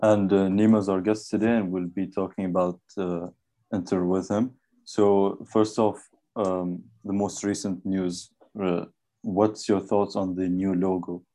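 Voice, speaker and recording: A man's voice: deep voice, little pitch movement, unhurried, dark tone. A man hosting a football podcast, talking casually and hesitantly with frequent fillers. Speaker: podcast host.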